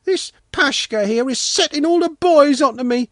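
A man's voice: extremely high pitch, normal speed, fairly bright tone, no echo, studio quality, pitched above the performer's own voice. A man voicing an indignant, wailing small boy in a storybook. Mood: offended, wailing. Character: pop-eyed small boy, wailing and deeply offended. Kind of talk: storybook character reading.

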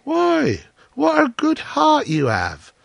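Miller's wife, done by a male reader: admiring, gushy storybook woman, raised, sing-song pitch. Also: unhurried, creaky, breathy edge, dry recording, heavily acted. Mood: gushing. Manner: gushing, flattering. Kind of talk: storybook character voice.

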